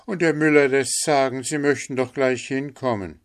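A man's voice: medium pitch, steady tone, normal speed, voice pushed up, close to an everyday voice. A man doing an eager humble little fellow. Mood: urgent. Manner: eager, obliging and polite.

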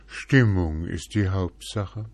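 A man's voice: low-pitched, takes it slowly, dry recording, exaggerated voice. A man voicing an owl who wails that Christmas must be dim and cozy, in a storybook. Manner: wailing, moody.

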